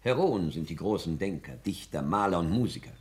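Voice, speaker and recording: An actor playing a pompous, ardent intellectual aesthete in a 1960s German radio play, speaking in lofty declamation. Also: male voice, low voice, lively intonation, fairly quick. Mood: condescending.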